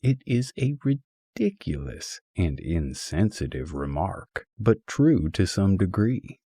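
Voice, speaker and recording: A low-pitched male narrator takes his time over a humorous essay, his delivery wry and mock-scholarly. The tone moves up and down in a lively way. The recording is dry and close. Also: slow, husky, no room sound. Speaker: audiobook narrator.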